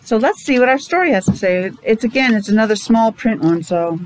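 A woman's voice: a little high, lively intonation, a little fast, slight background noise. A middle-aged or older woman teacher reading a story aloud to young children, slightly muffled by a face mask, with chatty explanatory asides and questions. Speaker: preschool or elementary teacher. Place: classroom, indoors.

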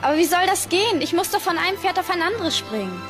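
A woman's voice: very high-pitched, big pitch swings, quick pace, music in the background. An actress playing a plucky young girl in a German children's drama. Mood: matter-of-fact. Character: plucky girl stunt double.

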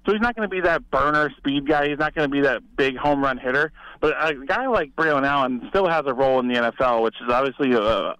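A man's voice: mid-range voice, quick pace, hardly any pauses, fairly bright tone, clear, resonant tone, no echo. Man, guest sports analyst, mid-range voice, conversational, slightly muffled call audio. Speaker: sports reporter, show guest.

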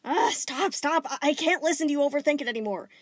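A woman voicing a bossy, shrill magic gem that speaks inside a young man's head. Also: lively intonation, fast, no room sound.

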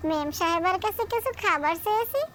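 Long-suffering poor mother and servant, female actor, squeaky-high, humble cartoon voice, noisy birdsong backdrop. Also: animated, a little fast. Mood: sorrowful. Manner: plaintive, deferential. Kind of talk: cartoon dialogue. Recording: noisy track, chirping birds behind.

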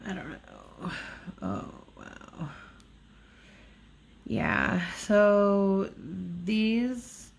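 A woman's voice: medium pitch, wildly swooping pitch, drawn-out words, creaky, a little hiss or hum. A woman just woken up, chatting in a rambling, nasal voice with fillers and small laughs while she works on a craft at a table indoors. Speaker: diamond painting vlogger.

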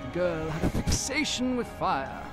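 Game cutscene official: high-pitched, pompous, scornful and wordy, loud music underneath. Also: male voice, very animated, normal speed. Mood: dismissive, accusing. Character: pompous, irritable, dismissive professional man recounting a case. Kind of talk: video game cutscene acting.

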